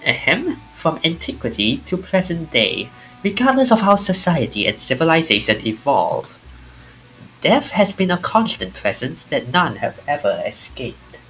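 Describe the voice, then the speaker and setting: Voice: mid-range voice, big pitch swings, measured pace, a bit bright, faint music behind, poor audio. A streamer reading a mysterious, courteous narrator of ghostly tales aloud, in a formal, theatrical manner with small stumbles. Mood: solemn. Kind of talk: reading visual novel dialogue aloud.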